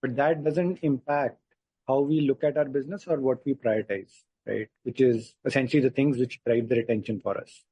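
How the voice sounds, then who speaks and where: A man, a company executive answering questions deliberately and with pauses on an earnings call, in a husky voice. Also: medium pitch, fairly even intonation, no echo, muffled recording. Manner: deliberate, pausing, seeks agreement with "right?". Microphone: conference call line.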